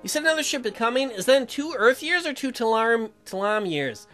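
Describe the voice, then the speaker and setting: A man plays a young newlywed woman, a colony mechanic in a video game. She is earnest and inquisitive, with a high, animated voice. Music runs underneath. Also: a little fast, full character voice.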